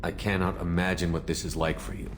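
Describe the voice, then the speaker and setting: A military commander in a video game, with a very low voice and quick delivery. She is steady, direct and compassionate as she probes. There is faint noise behind her. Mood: sympathetic. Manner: direct, concerned, questioning. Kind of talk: dramatic video game dialogue.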